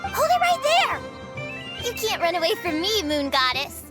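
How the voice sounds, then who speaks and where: A woman voicing a small, cheeky, excitable childlike fairy companion in a video game, with a squeaky voice. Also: a little slow, heavily acted. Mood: boisterous, challenging. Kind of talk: video game character acting. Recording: music behind the voice.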